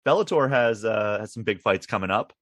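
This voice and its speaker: A man's voice: fairly low pitch, lively intonation, fairly quick, a bit bright, dry recording, clean studio sound. A man co-hosting a podcast in an easygoing, self-deprecating way. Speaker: podcast co-host. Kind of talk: casual sports chat.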